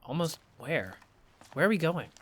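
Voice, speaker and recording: A man playing a blunt, bewildered and wary young man in an audio drama, with halting, incredulous delivery. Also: a little high, big pitch swings, breathy edge. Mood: uneasy, resigned. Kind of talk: audio fiction podcast acting.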